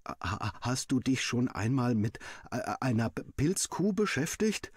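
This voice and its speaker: Cheeky, teasing schoolboy for a storybook, read by a man, lifted pitch, husky edge. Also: big pitch swings, measured pace, no room sound, studio quality. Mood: silly, prying. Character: cheeky schoolboy pestering with silly questions.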